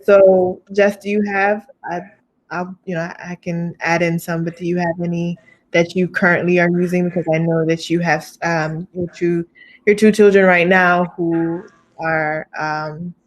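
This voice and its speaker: A woman's voice: on the low side, measured pace, rough recording. Chatty woman hosting a webinar. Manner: animated, chatty, reads out questions. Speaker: webinar host. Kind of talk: webinar talk. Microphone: video call.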